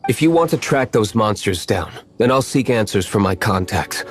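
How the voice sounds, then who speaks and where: Man playing a low-voiced, aloof nobleman. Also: animated, moderate pace, loud music behind the voice, lightly acted. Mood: grimly resolute. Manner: cool, curt, serious. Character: aloof, brooding young nobleman and tavern owner. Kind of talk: anime-style video game acting.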